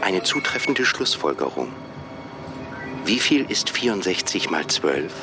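A man's voice: deep voice, very animated, measured pace, over music. A man playing a cool, formal computer program that interviews job candidates in a radio drama, clipped and impersonal. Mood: testing, impersonal.

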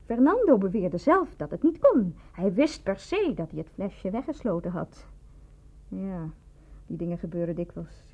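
An actress in a Dutch radio play, playing a bitter, defensive woman who is being questioned, with a husky, dramatic delivery. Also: medium pitch, sing-song, moderate pace, a little mellow. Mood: subdued, bitter. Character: bitter, evasive woman under questioning about a poisoning. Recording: dull, lo-fi radio play recording.

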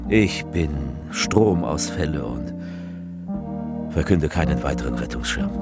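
An actor playing a grave, fervent political orator, delivering a rhetorical, slightly absurd theatrical speech with deliberate phrasing and emphatic repetition. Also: male voice, very deep voice, heavily acted.

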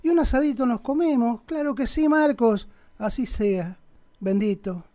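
A man's voice: very high voice, unhurried, dark tone. An Argentine man playing a sanctimonious, pompous conservative politician in a satirical radio play, with an unctuous, preachy manner. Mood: smug, cajoling. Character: sanctimonious male conservative politician, pious and crudely provocative.

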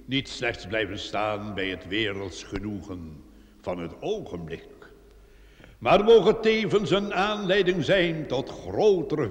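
Echoing, solemn village priest. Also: male voice, medium pitch. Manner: measured, sanctimonious, declamatory. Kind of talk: radio play sermon. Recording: long echoing church-like reverb.

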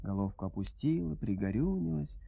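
A man's voice: medium pitch, expressive, a little fast, vocal fry, husky, muffled recording. A man telling a children's fairy tale in a folksy, theatrical way with rhythmic phrasing. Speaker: narrator. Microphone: close studio microphone.

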